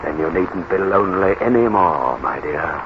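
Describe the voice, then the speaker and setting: An actor playing a brooding, bitter self-made gentleman in 1930s radio drama, with a sardonic, commanding manner. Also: low-pitched, expressive, moderate pace. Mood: probing, seductive. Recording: noisy, muffled 1939 radio recording.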